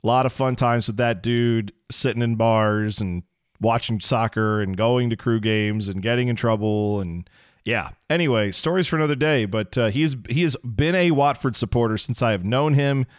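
A hoarse man in his thirties, co-hosting a casual soccer podcast from his home basement, telling rambling, self-deprecating stories. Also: mid-range voice, normal speed, no room sound, studio quality. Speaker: podcast co-host. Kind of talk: casual sports chat.

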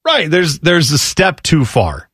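A man's voice: fairly high pitch, wildly swooping pitch, a little slow, fairly bright tone, breathy edge, no room sound. A man co-hosting a sports radio show, chatting and bantering casually.

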